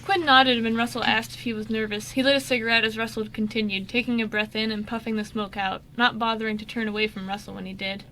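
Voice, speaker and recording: A woman's voice: a little high, flat delivery, quick pace, few pauses, bright, forceful tone, poor audio. A woman reading scripted narration for an amateur radio drama, with small stumbles and self-corrections. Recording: faint background noise.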